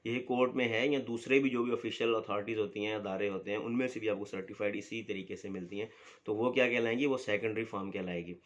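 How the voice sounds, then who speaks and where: A man's voice: on the low side, steady tone, fast talker. Man lecturing on law. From Pakistan. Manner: asks the class a question, then answers it himself. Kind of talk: explanatory lecture. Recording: dull lo-fi recording.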